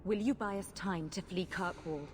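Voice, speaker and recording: A woman's voice: lowish voice, moderate pace, long reverb tail, soft background music, close to an everyday voice. An actress playing a proud, impassioned rebel mage woman in a fantasy video game, earnest and persuasive. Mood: urgent pleading. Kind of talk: dramatic video game acting.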